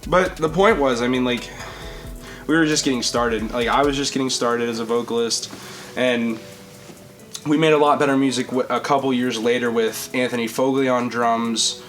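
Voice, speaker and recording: A young man with a deep, projecting voice, chatting casually as a podcast host, rambling and swearing freely. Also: fairly even intonation, moderate pace, fairly bright tone, soft background music. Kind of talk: casual chat.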